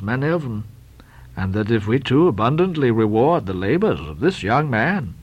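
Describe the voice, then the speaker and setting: A man voicing a stingy, solemn old Quaker captain with a slow, mumbling, sepulchral delivery and archaic pious speech. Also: medium pitch, dry recording.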